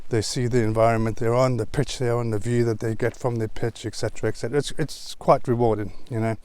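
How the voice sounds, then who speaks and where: A man with a South African accent talking casually in a vlog, working through points in a measured, conversational way. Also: medium pitch, level tone, darkish tone, clean tone. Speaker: vlogger. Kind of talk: casual vlog talk to camera.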